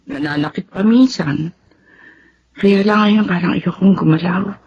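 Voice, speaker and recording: A woman's voice: on the low side, measured pace, darkish tone. An actress playing a grieving, grateful woman in a Filipino radio drama, speaking in a sincere, heavy-hearted way. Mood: weary, sorrowful. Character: grieving, grateful adult woman, Remedios, orphaned landowner. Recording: dull radio recording with background noise.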